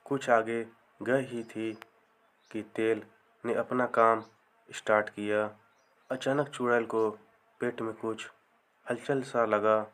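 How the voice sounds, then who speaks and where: A man narrating a scripted comic folktale as a storyteller, in measured short phrases. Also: on the low side, flat delivery, fairly bright tone.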